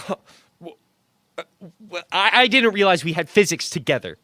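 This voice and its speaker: A man playing an awkward, easily offended young person in an audio play, with a halting, stammering delivery. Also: sits high, very animated, pushed and bright, no room sound, clean studio sound, full character voice. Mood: flustered.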